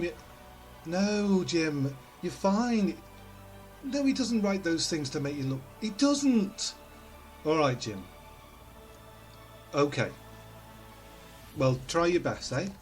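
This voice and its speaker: Male, slightly high and very melodic, slow reassuring phone chat, music behind. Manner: coaxing, reassuring, halting. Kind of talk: comic one-sided phone conversation.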